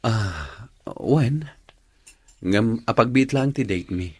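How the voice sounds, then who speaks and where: Husky actor as a lovestruck Ilocano man. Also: mid-range voice, animated, slow, no echo. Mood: hesitant, guarded. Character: lovestruck, defensive man in love with a separated woman. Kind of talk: Ilocano radio drama acting.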